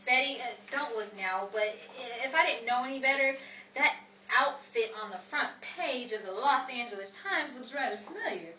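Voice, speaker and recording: A woman's voice: a little high, animated, normal speed, sharp tone, muffled recording. A woman playing a wry, long-suffering wife and best friend in a 1940s-style radio comedy. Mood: teasing. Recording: echoey, distant mic, noisy crowd behind.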